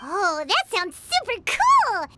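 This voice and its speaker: A woman voicing a tiny, excitable, blunt fairy companion in a video game, with a squeaky childlike voice. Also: takes it slowly, exaggerated voice. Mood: amazed. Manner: refers to self in third person, exclaiming. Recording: music behind the voice.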